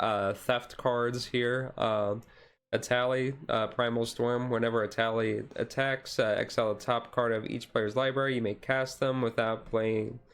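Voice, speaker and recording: A man streaming a casual ASMR-style card showcase, easygoing and halting as he reads out cards, his voice a little rough and taken gently. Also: mid-range voice. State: voice slightly rough, being taken easy.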